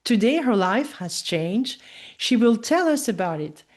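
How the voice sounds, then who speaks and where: A woman hosting a podcast, reading a scripted introduction with a measured delivery before interviewing her guest. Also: fairly high pitch, sing-song, darkish tone. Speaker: podcast host.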